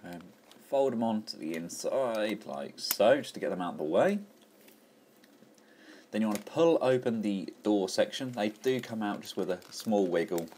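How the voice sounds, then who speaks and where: A man's voice: on the low side, lively intonation, moderate pace, darkish tone, a little hiss or hum. An enthusiastic man chatting casually through a toy review, full of fillers and restarts. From England.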